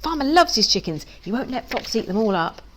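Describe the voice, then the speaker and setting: Woman voicing an urgent, high-pitched clever duck. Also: very animated, fairly quick, slight background noise. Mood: hushed, reassuring. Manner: urgent, high-pitched calls and hushed planning. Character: clever, bossy duck friend, urgent plotter.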